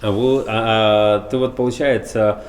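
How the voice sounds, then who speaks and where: A man's voice: fairly low pitch, fairly even intonation, a little slow. A man interviewing a guest, asking his questions hesitantly and searching for words.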